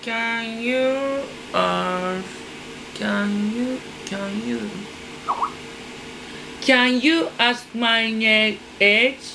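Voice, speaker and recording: A woman's voice: on the high side, gravelly, noisy background. A woman in her forties with a Japanese accent, a native Japanese speaker, hesitantly repeating phrases in an online English lesson, recorded by a webcam at a desk in a small room. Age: 40s. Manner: hesitant, repeats phrases slowly while learning. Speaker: English learner.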